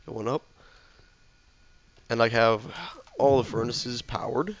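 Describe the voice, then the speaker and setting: A man talks through his game in a relaxed, rambling way, often mumbling to himself as he builds. His voice is slightly hoarse from a sore throat. The recording sounds dull and lo-fi, like a cheap home mic. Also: mid-range voice, takes it slowly, a crowd in the background. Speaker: let's play gamer. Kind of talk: casual game commentary, thinking aloud.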